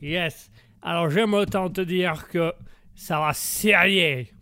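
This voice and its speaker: A man's voice: sits high, sharp tone, no echo, clean studio sound, heavily acted. A man voicing a brash, arrogant American man who talks with a put-on American accent and drops English words into his speech. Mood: boastful. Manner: swaggering, slow drawl, drops English words.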